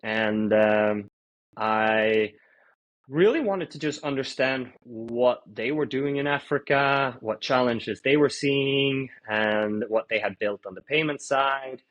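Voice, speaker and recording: A man in his thirties from Sweden, a fintech founder answering interview questions in an easygoing, story-like way with many pauses. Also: mid-range voice, lo-fi sound. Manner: relaxed storytelling with frequent "uh" pauses.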